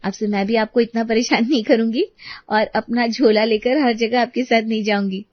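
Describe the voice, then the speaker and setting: Woman acting an insistent, high-voiced young daughter-in-law. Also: fairly even intonation, moderate pace, no room sound, muffled recording, lightly acted. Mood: affectionate. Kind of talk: animated moral story dialogue.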